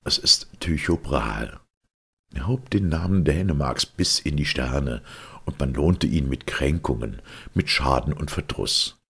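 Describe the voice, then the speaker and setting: A man narrating a literary story with solemn, theatrical weight and a gravelly, husky voice. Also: a bass voice, animated, a little slow, a bit bright. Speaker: audiobook narrator.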